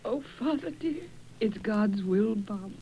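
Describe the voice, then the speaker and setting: A woman playing a grieving, tender mother in an old-time radio drama, speaking mournfully and deliberately. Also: medium pitch, animated, slight background noise. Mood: sorrowful, gentle. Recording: muffled old radio recording.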